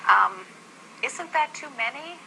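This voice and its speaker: A woman's voice: sits high, expressive, measured pace, vocal fry, bright, forceful tone, slight background noise, rough recording. An American woman hosting a live news interview, pressing her guest with insistent, challenging questions. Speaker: television news host.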